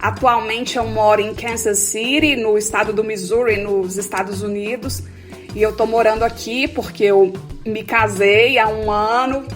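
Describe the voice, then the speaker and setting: A woman in her forties from Belo Horizonte with a Mineiro accent, introducing herself as a theologian guest in a measured way. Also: on the high side, steady tone, soft background music. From Belo Horizonte, Brazil. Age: 40s. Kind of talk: self-introduction in an interview.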